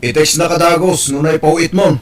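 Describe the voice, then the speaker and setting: A man's voice: fairly high pitch, fairly even intonation, fairly quick, lightly acted. A man with an Ilocano accent playing a demanding, curt older brother in an audio drama.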